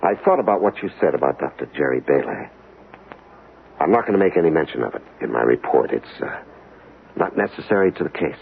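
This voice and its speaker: On thin vintage radio audio, a methodical government man with a low voice. His intonation moves around a good deal, while his wording stays precise and bureaucratic. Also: expressive, a little fast, gravelly, full character voice. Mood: dry, efficient. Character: reserved, methodical male government investigator. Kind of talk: 1970s radio drama acting. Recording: lo-fi old radio broadcast, faint noise.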